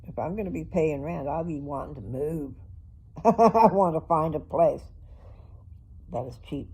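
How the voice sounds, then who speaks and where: A woman's voice: sits low, expressive, measured pace, mellow, husky, poor audio. An older woman chatting casually in a personal vlog, rambling and talking straight to her viewers. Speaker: vlogger.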